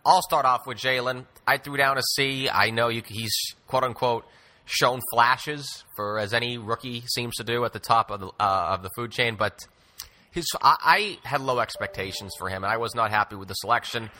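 Man, podcast host, strikingly bright forceful tone, medium pitch, wordy wry basketball talk. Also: normal speed. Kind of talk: conversational basketball commentary.

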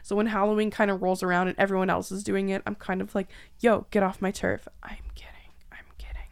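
A woman hosting a podcast in a casual, animated, self-deprecating way with salty language. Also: medium pitch, fast, creaky, clean tone. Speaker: podcast host. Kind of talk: casual chatty podcast banter.

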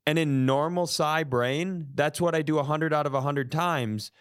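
A man's voice: a little high, moderate pace, clear, resonant tone, no room sound, studio quality. An American man co-hosting a comedy podcast with casual, self-deprecating banter and wry interjections. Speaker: podcast co-host.

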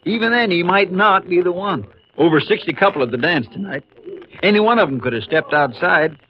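An American actor with a Texan drawl playing a folksy, plain-spoken small-town sheriff in a 1950s radio western. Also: male voice, sits high, expressive, fairly quick. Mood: worried, explaining. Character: folksy, dutiful small-town Texas sheriff, grown man. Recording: dull, muffled 1950s radio recording.

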